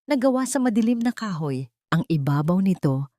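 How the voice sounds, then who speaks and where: A woman's voice: medium pitch, very animated, moderate pace, mellow, no echo, clean studio sound. A woman narrating a scripted story in a dramatic storytelling style.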